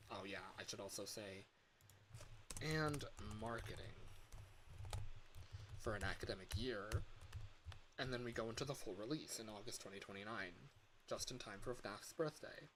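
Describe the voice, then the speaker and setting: A man streamer chatting casually, thinking aloud in a halting, rambling way. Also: fairly low pitch, a bit bright, slight background noise, rough recording. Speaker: livestreamer and fangame developer. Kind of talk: casual livestream chat. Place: livestream at a computer.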